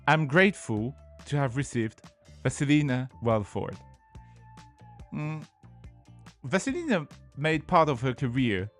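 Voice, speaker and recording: A man with a French accent hosting a podcast, reading a playful scripted intro and then interviewing a guest, with lively jumps in pitch. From France. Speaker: podcast host.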